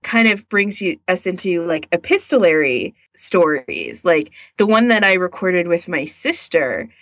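Female podcast co-host, slightly low pitch, informal conversational delivery, close dry sound. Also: sing-song, measured pace, a bit bright, no room sound. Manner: informal, peppered with "like". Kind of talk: casual podcast chat.

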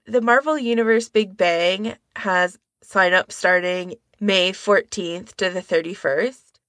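A woman's voice: mid-range voice, slow pace, a bit bright, no echo. A woman co-hosting a podcast, explaining things in an informal, conversational way. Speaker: podcast co-host. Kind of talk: casual podcast chat.

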